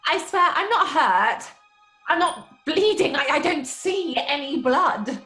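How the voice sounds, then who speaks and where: Sceptical troupe actress for horror drama: high voice, sharp tongue, brisk dismissive manner. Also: female voice, very animated, soft background music, exaggerated voice, poor audio. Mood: uneasy denial. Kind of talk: horror audio drama acting.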